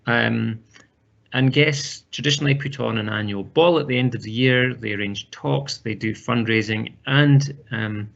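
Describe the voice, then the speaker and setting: A man's voice: medium pitch, moderate pace, vocal fry, a bit bright, highs cut off. A man giving an open day presentation as a university lecturer, formal, with frequent restarts. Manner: formal, with repeated words and restarts.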